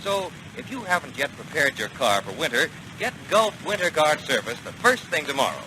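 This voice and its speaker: A man's voice: fairly high pitch, sing-song, moderate pace. A man working as a commercial announcer, delivering a scripted 1940s radio advertisement. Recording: noisy 1940 radio broadcast.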